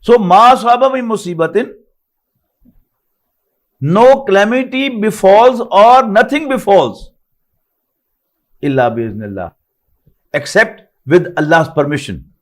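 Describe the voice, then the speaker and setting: A man's voice: sits high, expressive, measured pace, long pauses. An older man from Pakistan, a native Urdu speaker with a Pakistani English accent, teaching an online Quran lesson, reciting Arabic verses and then explaining them phrase by phrase in English.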